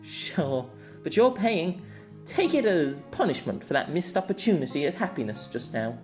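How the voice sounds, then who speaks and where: Man putting on a high-pitched, shy, teasing young woman. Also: very animated, normal speed, a little mellow, faint music behind.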